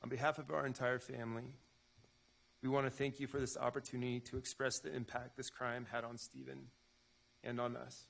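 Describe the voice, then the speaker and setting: A man reading the part of a grieving brother giving a measured, solemn impact statement in court. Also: medium pitch, flat delivery, gravelly, a bit bright, poor audio. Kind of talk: staged reading of a prepared statement.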